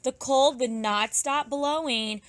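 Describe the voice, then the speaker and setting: A woman teacher narrating a children's storybook aloud, expressively, with drawn-out emphasis for young listeners. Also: sits high, very noisy. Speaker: pre-kindergarten teacher.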